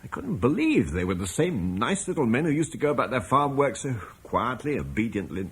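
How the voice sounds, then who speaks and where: An actor playing a gentle, troubled Roman landowner and father, speaking reflectively in a vintage radio drama. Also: mid-range voice, big pitch swings, fairly quick, a little hiss or hum. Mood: shaken. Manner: reflective, soft-spoken, haunted.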